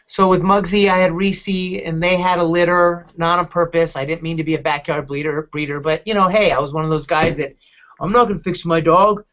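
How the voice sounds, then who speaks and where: A middle-aged man hosting a podcast, telling personal stories casually in a halting, candid, rambling way. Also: on the high side, fairly even intonation, fairly bright tone. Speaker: podcast host, dog advocate. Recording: dull lo-fi podcast audio.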